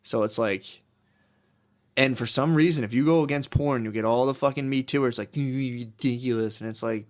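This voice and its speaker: A man's voice: mid-range voice, moderate pace. Profane joking man in a podcast chat. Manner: profane, animated, says dude a lot. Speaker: podcast co-host. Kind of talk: crude comedic chat.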